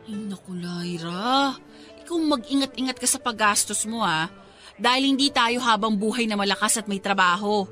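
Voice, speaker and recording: A woman's voice: medium pitch, moderate pace, bright, forceful tone, close to an everyday voice. A woman acting a practical, scolding young best friend in a Tagalog radio drama, with a Filipino accent. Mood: disapproving. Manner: scolding, preachy, exclamatory. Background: music.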